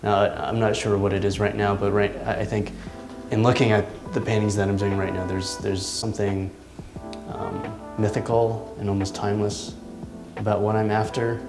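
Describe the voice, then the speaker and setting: A man in his thirties speaks slowly and thoughtfully, hesitating and restarting as he searches for words. His voice sits low and stays flat, with little rise or fall. The room around him rings with echo, and soft music plays underneath. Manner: thoughtful, halting, many ums and restarts. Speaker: artist. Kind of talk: reflective interview talk. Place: large indoor art studio. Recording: echoey studio room.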